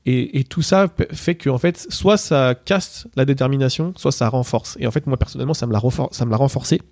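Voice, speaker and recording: A man telling long personal stories in a relaxed, casual way, with many fillers and restarts. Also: medium pitch, a little fast, vocal fry, dry recording, studio quality. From Portugal. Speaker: interviewee, PSG ultra supporter. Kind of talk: casual spoken storytelling.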